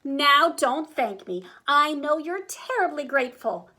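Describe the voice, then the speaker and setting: A woman plays a bossy storybook lady, older and very pleased with herself. The voice is high and swoops about as she gushes in a hoity-toity, exclaiming manner. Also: very animated, measured pace, fairly bright tone, heavily acted. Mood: gushing, smug. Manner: hoity-toity, exclaiming, breezily self-congratulatory.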